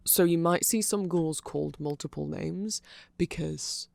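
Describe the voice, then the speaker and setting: A man rambles through an ASMR explainer in a heavily fried, gravelly voice that sits a little high for a male speaker. He is nerdy and discursive, doubling back to correct himself and checking in as he goes. The sound is very dry and close. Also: measured pace, darkish tone, no echo. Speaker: ASMR creator.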